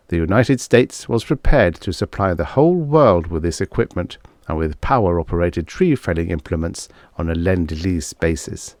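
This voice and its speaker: Low-voiced man narrating, very dry sound. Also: a little mellow, no room sound. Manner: measured, formal storytelling. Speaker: audiobook narrator. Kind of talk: scripted narration of vintage science fiction stories.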